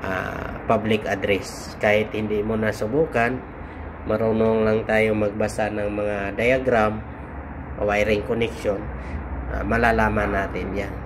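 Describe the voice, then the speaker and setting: A Filipino man giving an informal instructional walkthrough, speaking haltingly in short phrases with pauses. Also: lowish voice, flat delivery. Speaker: host of an electronics how-to video. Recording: clear background noise, vehicle-like hum.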